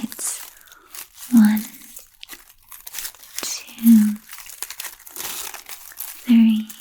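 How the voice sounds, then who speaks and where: A woman voicing a vain, seductive female vampire in a gentle, coaxing, breathy whisper for an ASMR roleplay. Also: fairly high pitch, completely flat delivery, slight background noise. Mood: coaxing, playfully puzzled. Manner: slow, gentle, coaxing, with lingering pauses.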